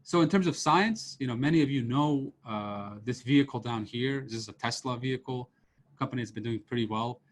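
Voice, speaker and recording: A man's voice: mid-range voice, fairly quick. Man, 30s, from Serbia, professor, casual seminar talk, video call mic, frequent fillers and restarts. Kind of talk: informal lecture over video call. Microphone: computer mic on a video call, camera off.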